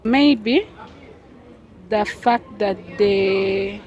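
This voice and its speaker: Young woman, Arabic-English, measured and reflective interviewee. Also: mid-range voice, a little mellow. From South Sudan. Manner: thoughtful, unhurried, with pauses and fillers. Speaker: street interviewee. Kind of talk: casual interview answers.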